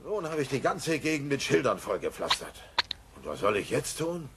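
An actor playing a bumbling, hot-headed middle-aged cartoon father with a gravelly voice and blustering, emphatic delivery. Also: medium pitch, very animated, moderate pace. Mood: earnest, dissatisfied. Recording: noisy old cassette dub.

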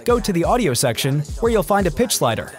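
A man narrates a scripted tutorial in a high-set voice. His intonation rises and falls in a lively way. Music runs underneath the instructions. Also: fast. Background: background music.

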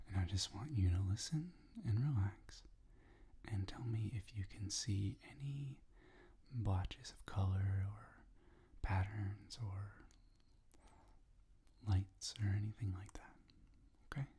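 Softly whispering low, mellow man. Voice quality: soft, breathy whisper. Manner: slow, soothing, reassuring. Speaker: ASMR roleplay performer as eye doctor. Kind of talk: whispered ASMR roleplay. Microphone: close ASMR microphone. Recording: close-up with clear noise and clicking.

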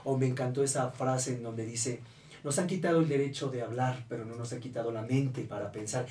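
A man's voice: medium pitch, mellow, recorded from a distance, slight background noise. Man hosting radio, roomy and off-mic. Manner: filler-heavy, many "eh" pauses. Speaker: radio show host. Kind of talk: radio interview. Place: radio studio booth. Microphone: studio boom mic, heard from a distance.

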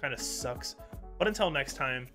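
A man's voice: medium pitch, lively intonation, moderate pace, music in the background. A young man hosting a reaction video, talking in a laid-back, chatty way, nasal and stuffed up from a cold, on a headset mic in a small room at home. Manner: laid-back, chatty, addresses viewers as guys. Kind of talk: casual reaction commentary. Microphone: gaming headset mic.

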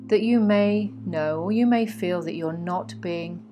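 A woman guiding a meditation in a soothing, instructive way, with frequent pauses between phrases. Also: lowish voice. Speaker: guided meditation teacher. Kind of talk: guided meditation narration. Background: meditation music with singing bowls.

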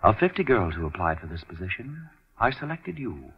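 Very deep, husky, commanding mystic. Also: male voice, big pitch swings, heavily acted. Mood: solemn, coaxing. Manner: formal, measured, theatrical phrasing. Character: commanding mystic detective, solemn, formal, self-assured man. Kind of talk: old-time radio drama acting. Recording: dull, muffled old radio recording.

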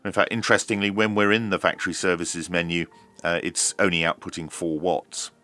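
Middle-aged man, very low pitch, forceful bright tone, measured explanatory delivery, hands-on review commentary. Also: flat delivery, faint music behind. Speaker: radio reviewer.

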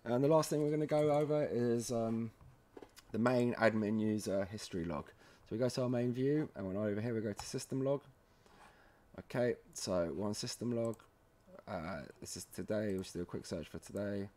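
A man with a fairly low voice presents a screen-recorded software tutorial. He talks informally and a bit haltingly, guiding the viewer through each step. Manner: informal, halting, often checking in with "okay".

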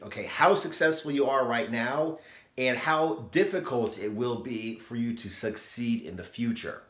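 A man's voice: mid-range voice, a bit bright, roomy sound. A man in his forties giving a blunt, emphatic motivational talk to the camera in a small room. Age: 40s. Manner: emphatic, blunt, repeats "okay?". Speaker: fitness vlogger. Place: small room, plain grey backdrop.

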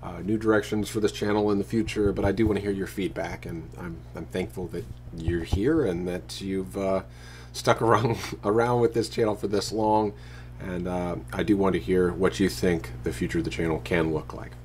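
A man in his thirties talking casually to a handheld camera at arm's length, relaxed and rambling. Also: low voice, normal speed, slight background noise. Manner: relaxed, rambling, self-deprecating. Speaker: vlogger. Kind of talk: casual vlog talk to camera. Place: porch and living room of a house, then dark room.

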